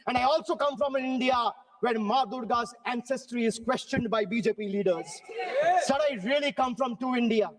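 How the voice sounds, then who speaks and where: A man's voice: extremely high pitch, moderate pace, big-room sound. A man from Bengal giving a rhetorical speech in parliament, declaiming in parallel phrases. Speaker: member of parliament. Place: large parliament chamber.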